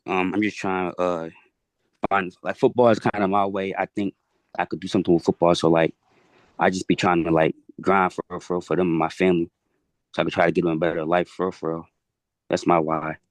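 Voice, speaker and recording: A young football player on a video call, soft-spoken with lots of fillers. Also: male voice, sits low, level tone, fairly quick, no echo. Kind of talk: casual video call chat.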